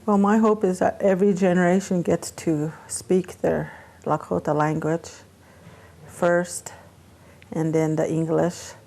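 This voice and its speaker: Gravelly, mellow-voiced older woman. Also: on the low side, flat delivery, measured pace. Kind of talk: interview answers.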